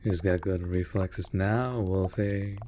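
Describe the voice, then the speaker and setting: Guy doing a teasing winged familiar for fantasy roleplay, unhurried delivery, dramatic, formal wording, clear background noise. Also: deep voice, fairly even intonation, warm tone. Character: wry, put-upon winged animal familiar of a mage. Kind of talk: fantasy audio roleplay acting.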